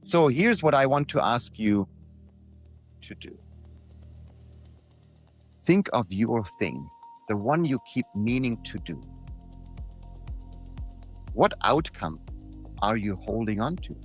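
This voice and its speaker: A man reading his own reflective motivational piece aloud in deliberate short phrases, leaning on repetition for emphasis. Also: mid-range voice, sing-song, faint music behind.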